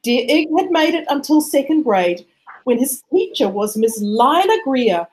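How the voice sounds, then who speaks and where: A woman with a high voice narrates a rhyming picture book for children. Her delivery is expressive and rhythmic, rising and falling in a lively sing-song. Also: normal speed. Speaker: storybook reader.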